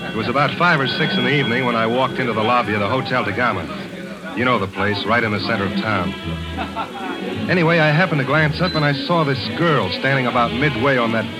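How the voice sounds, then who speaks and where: An actor playing a world-weary American foreign correspondent in a 1940s radio play, laconic and hard-boiled. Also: male voice, medium pitch, fast, close to an everyday voice, low-quality recording. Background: music.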